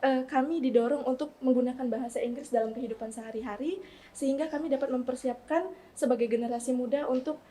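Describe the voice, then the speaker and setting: A teenage girl from South Sumatra, a student researcher speaking carefully and formally, with hesitant pauses. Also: sits high, steady tone, warm tone. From Palembang, South Sumatra. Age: teens. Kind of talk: formal statement in an interview.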